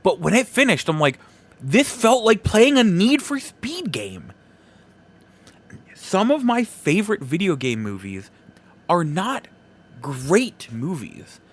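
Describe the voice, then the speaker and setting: A man's voice: a little high, breathy edge. A man hosting a solo podcast, giving opinions in an informal, conversational and emphatic way. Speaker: podcast host.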